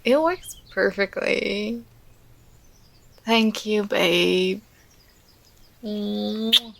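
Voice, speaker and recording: A woman playing an affectionate, contented girlfriend in an ASMR roleplay, speaking in a tender, soothing, intimate and unhurried manner. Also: medium pitch, gravelly, a bit bright, heavily acted. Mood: happy, affectionate.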